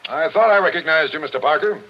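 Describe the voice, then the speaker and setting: Brash police captain. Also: male voice, on the high side, quick pace, vocal fry. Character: gruff, brisk male police captain. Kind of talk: 1940s radio acting. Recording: muffled 1940s radio broadcast.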